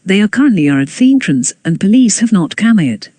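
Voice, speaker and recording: An announcer reading scripted emergency news bulletins in a formal, measured way. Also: mid-range voice, very animated, mellow, dry recording.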